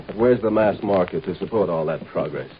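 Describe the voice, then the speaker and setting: A man's voice: low voice, brisk, highs cut off, lightly acted. A man playing a blunt, sarcastic military marshal of a galactic empire in an American 1950s radio drama. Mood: gruff, commanding. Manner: blunt, sardonic, commanding. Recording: scratchy, noisy 1950s radio broadcast.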